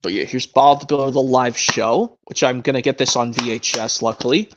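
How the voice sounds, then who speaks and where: A young man in his twenties chatting on a live stream, rambling with frequent restarts. His voice sits at an ordinary middle pitch. The audio is a little muffled and lo-fi. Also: fairly even intonation, fairly quick, background noise. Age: 20s. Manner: rambling, restarts often, enthusiastic collector. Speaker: stream host. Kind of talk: casual chat.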